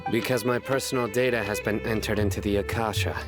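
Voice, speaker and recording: A man's voice: fairly low pitch, steady tone, quick pace, lightly acted. A man playing a stern, wary young general in an anime game, speaking in a clipped, grave, commanding manner. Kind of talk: anime game cutscene dialogue. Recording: faint music behind the voice.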